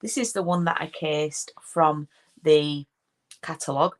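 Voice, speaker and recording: A woman's voice: low voice, measured pace. A woman with a broad Yorkshire accent, hosting a casual live craft stream and greeting viewers by name as she chats. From Yorkshire, England. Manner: chatty, greets viewers by name, frequent ums and restarts. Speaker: card-making demonstrator hosting a live stream.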